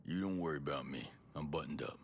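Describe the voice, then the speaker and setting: An actor playing a gruff, resolute special forces soldier in a video game, blunt and matter-of-fact. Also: male voice, extremely low pitch, fast talker, a little hiss or hum. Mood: determined. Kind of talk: cinematic game acting.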